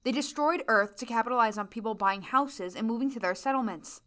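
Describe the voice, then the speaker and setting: A woman's voice: fairly high pitch, brisk, fairly bright tone, lightly acted. A woman acting in an audio drama, playing a jaded young woman who speaks with bitter insistence. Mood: grim.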